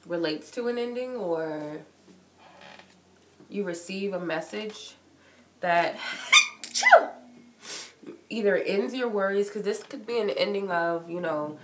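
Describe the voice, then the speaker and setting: A woman's voice: on the low side, measured pace, long pauses. A woman with a cold and a stuffy nose, giving a casual live-streamed tarot reading in a chatty, loose way full of likes and ums. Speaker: tarot reader. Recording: echoey room with noise.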